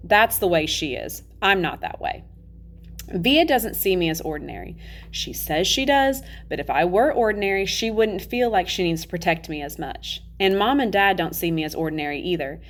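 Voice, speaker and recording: A matter-of-fact ten-year-old boy tells his own storybook tale , read by a woman with a slightly low voice. The delivery is candid and confiding, like plain conversation. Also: lively intonation, moderate pace. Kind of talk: children's novel read-aloud.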